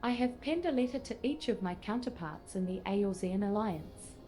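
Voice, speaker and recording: A woman plays a female admiral in a game, with a medium-pitched voice. She is formal, resolute and businesslike, with music behind her. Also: lively intonation, normal speed, warm tone, lightly acted. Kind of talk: scripted video game dialogue.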